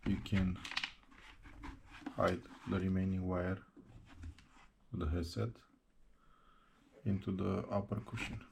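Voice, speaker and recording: A man narrating a hands-on how-to tutorial step by step, speaking haltingly in short broken phrases. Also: deep voice, flat delivery, creaky, background noise. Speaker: presenter of a how-to installation tutorial.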